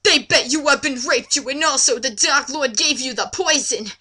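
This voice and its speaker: An earnest elf hero from a fantasy story, acted out by a woman reader. The voice is high and piercingly bright, exclaiming each line with overblown, melodramatic concern. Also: moderate pace. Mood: overwrought.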